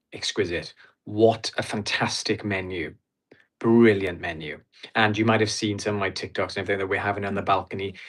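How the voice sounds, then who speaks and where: A Welsh man chatting as a podcast guest, reviewing a cruise in a blunt, opinionated and enthusiastic way. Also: lowish voice. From Wales. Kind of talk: casual podcast chat.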